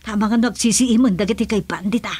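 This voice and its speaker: Nagging village wife, close-miked. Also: female voice, on the high side, fairly even intonation, fast, a little mellow, breathy edge, dry recording. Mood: exasperated. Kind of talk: radio comedy drama acting.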